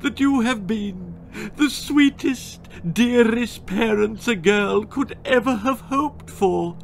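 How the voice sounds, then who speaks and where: A man's voice: sits high, slow pace, vocal fry, a little hiss or hum, voice pushed up, exaggerated voice. A man voicing a spoiled, manipulative little girl who sobs and whines to get her way. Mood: sobbing. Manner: sobbing, whining, wailing.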